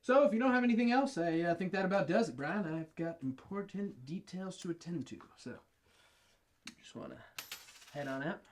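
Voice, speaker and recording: A man playing a petty, evasive office boss in a comedy sketch, speaking with halting condescension. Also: on the high side, a little mellow, natural voice. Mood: condescending. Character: evasive, exasperated male office boss, petty and foul-mouthed.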